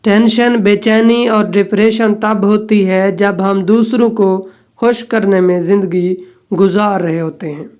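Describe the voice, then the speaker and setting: A woman reading out scripted quotations as a narrator, in measured, deliberate phrases with pauses. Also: mid-range voice, fairly even intonation, darkish tone. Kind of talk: scripted recitation of Urdu quotes.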